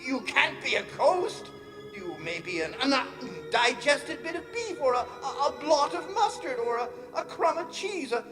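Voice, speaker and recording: A man's voice: extremely high pitch, big-room sound, heavily acted, low-quality recording. A man playing a stingy, frightened old miser in a radio drama, stammering and theatrical as he shifts between bluster and pleading. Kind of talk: stage radio drama acting.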